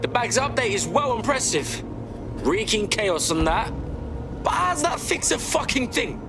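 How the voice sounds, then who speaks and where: A man's voice: high voice, sing-song, a little slow, gravelly, over music, exaggerated voice. A man with a British accent playing a defiant, streetwise young hacker in a video game, blunt and slangy. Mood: sceptical.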